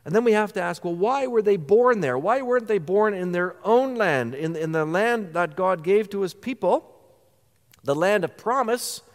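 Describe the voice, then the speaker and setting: A man's voice: high-pitched, animated, normal speed. A man preaching a sermon as pastor, earnest, posing rhetorical questions to the congregation. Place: church sanctuary. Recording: church hall reverb.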